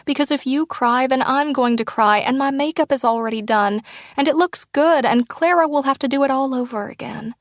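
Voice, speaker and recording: A woman's voice: high voice, measured pace, dry recording, pitched above the performer's own voice. Anxious grown daughter, voiced by a woman, earnest and apologetic, dry booth. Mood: pleading. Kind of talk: audiobook character dialogue.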